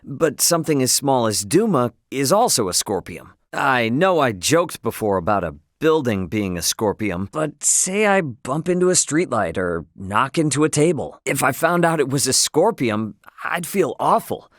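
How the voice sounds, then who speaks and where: A man's voice: medium pitch, very animated, normal speed, few pauses, dry recording, clean studio sound, natural voice. A male actor playing a brash, good-hearted young spacefaring captain in a video game, with casual, slangy, plain-spoken delivery. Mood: earnest, curious.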